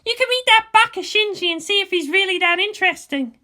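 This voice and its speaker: A woman's voice: extremely high pitch, a little fast, sharp tone, pitched above the performer's own voice. A woman voicing a proud, brash but vulnerable teenage girl in a fan fiction reading. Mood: shyly eager.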